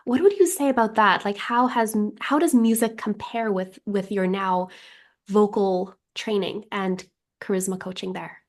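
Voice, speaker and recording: A woman's voice: medium pitch, normal speed. A woman hosting a podcast interview, enthusiastic and reflective, leaning on "like" as she thinks aloud. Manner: enthusiastic, reflective, frequent "like" fillers. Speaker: podcast host.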